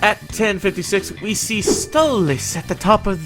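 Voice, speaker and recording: A woman's voice: mid-range voice, animated, normal speed, soft background music. A woman co-hosting a fan recap, giving semi-scripted episode commentary in an emphatic, theatrical way.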